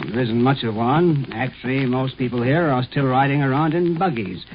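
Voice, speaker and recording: An actor playing an earnest, idealistic scientist in a 1950s radio drama, with a hesitant, pleading manner. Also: male voice, medium pitch, normal speed, natural voice. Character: earnest, dreamy idealistic male scientist professor. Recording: muffled, noisy 1950s radio recording.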